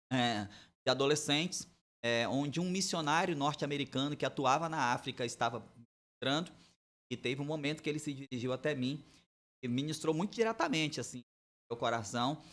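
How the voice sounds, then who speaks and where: Forties male pastor, guest on a church podcast, tells his testimony in a relaxed, chatty way. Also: fairly high pitch. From Bahia, Brazil. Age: 40s.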